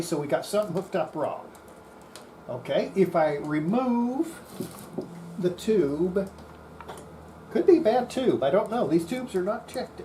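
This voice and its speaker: Electronics repairer, unscripted how-to talk, halting think-aloud delivery, mid pitch, clear noise behind the voice. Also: warm tone. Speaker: electronics restorer demonstrating a repair. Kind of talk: unscripted hands-on workbench demonstration.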